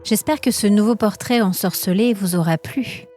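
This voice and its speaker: A woman narrates an atmospheric scripted tale for a podcast. Her voice is medium in pitch and her delivery is measured, literary and suspenseful. Faint music plays underneath. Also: darkish tone.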